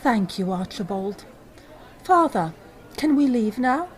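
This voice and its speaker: A woman's voice: on the high side, expressive, slow. An actress playing a grieving, devoted young woman who speaks earnestly and emotionally, in an old-time radio drama.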